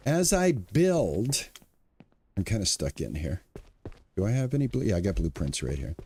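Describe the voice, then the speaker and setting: A man's voice: medium pitch, a little mellow. A man in his sixties chatting casually to his viewers as he streams a farming game, upbeat and talkative. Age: 60s. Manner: chatty, upbeat, talks to chat. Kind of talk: casual live-stream commentary.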